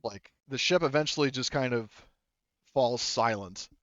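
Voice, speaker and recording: A man narrating a tabletop game as game master, improvising in a halting, hesitant, rambling way. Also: medium pitch, fairly bright tone, no echo, lo-fi sound.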